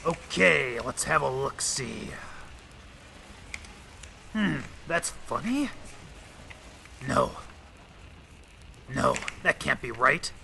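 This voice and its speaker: Man as gravelly wisecracking radio detective. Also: mid-range voice, expressive, drawn-out words, long pauses, pushed and bright, slight background noise, full character voice. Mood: suspicious. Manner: hard-boiled, clipped slang. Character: gruff, wisecracking 1920s big-city police detective. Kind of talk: old-time radio drama acting.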